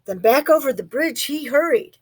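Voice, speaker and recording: A woman reading a children's storybook aloud expressively, pausing to put questions to young listeners. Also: high-pitched, lively intonation. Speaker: storybook reader. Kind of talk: children's read-aloud narration.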